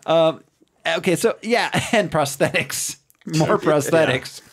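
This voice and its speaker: A man's voice: on the high side, lively intonation, slow pace. Laughing man recapping a movie plot. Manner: recaps the plot, laughs often, restarts mid-sentence. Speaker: podcast co-host. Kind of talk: casual movie recap chat.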